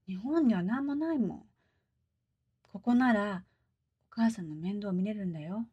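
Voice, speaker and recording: A woman's voice: mid-range voice, lively intonation, quick pace, warm tone, slightly rough, dry recording, dull audio. An actress playing an earnest, dutiful woman who tells her story reflectively and hesitantly in an audio drama monologue. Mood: pleading. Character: adult Japanese daughter recalling her diver mother, earnest, dutiful.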